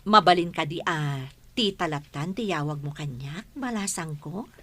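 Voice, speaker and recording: A woman playing a sociable working mother and aunt figure in a radio drama, with an expressive, coaxing way of talking. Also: deep voice, measured pace, heavily acted. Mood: friendly, deferential. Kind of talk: Ilocano radio drama acting.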